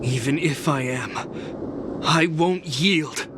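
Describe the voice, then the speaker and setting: Stern, higher-voiced guard captain. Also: male voice, drawn-out words, heavy background noise. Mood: defiant. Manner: formal, commanding, solemn military speech. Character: stern, duty-bound young male guard captain, formal and unyielding. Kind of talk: dramatic video game voice acting.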